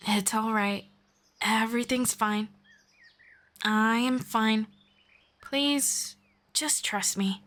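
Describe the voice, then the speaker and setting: A woman voicing a patient, gently formal female elf friend in an ASMR roleplay, speaking softly and politely. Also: on the high side, steady tone. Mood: calm, patient. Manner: soft, unhurried, politely formal.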